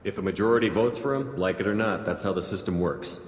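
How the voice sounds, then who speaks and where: An actor playing a steadfast, commanding human military agent in a video game, speaking in a firm, direct, clipped way. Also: male voice, low-pitched, fast talker, long reverb tail, slight background noise, lightly acted. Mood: businesslike.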